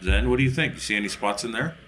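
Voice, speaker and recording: A man's voice: mid-range voice, expressive, racing, fairly bright tone, slight background noise, rough recording. A man who is a player in a tabletop game, bantering casually and expressively in character.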